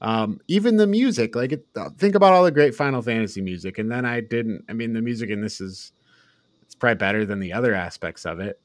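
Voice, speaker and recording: A man's voice: lowish voice, lively intonation, fast talker, no room sound. A man hosting a casual film review podcast, speaking bluntly and informally. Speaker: podcast host.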